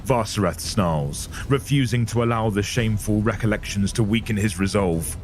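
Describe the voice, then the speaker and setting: A man's voice: fairly low pitch, normal speed, soft background music. A man giving dramatic scripted narration for an audio drama, grave, portentous and theatrical.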